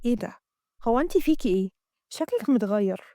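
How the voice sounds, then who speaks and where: A woman's voice: fairly high pitch, expressive, fast, warm tone, no echo, studio quality, lightly acted. A woman voicing a chatty, nosy young female roommate with an Egyptian accent. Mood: nagging. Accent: Egyptian Arabic.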